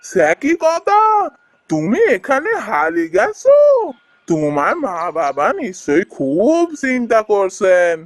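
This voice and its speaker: A woman's voice: medium pitch, very animated, slow pace, no echo. A woman playing a kind, earnest, hard-working village husband in a fairy-tale cartoon. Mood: concerned, kindly. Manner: earnest, caring. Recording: music behind the voice.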